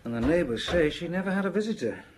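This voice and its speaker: A man's voice: on the high side, animated, fast talker, a little hiss or hum, close to an everyday voice. An actor playing a diligent local police inspector in an audio drama, giving a conversational, slightly hesitant briefing to his superior. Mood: matter-of-fact. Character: local male police inspector, matter-of-fact, diligent, deferential.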